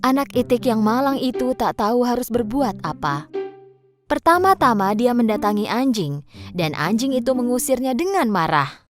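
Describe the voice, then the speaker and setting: A woman reads a children's tale as a narrator. Her voice is high and swings widely in pitch, giving an expressive storybook delivery. Music plays clearly behind her. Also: moderate pace.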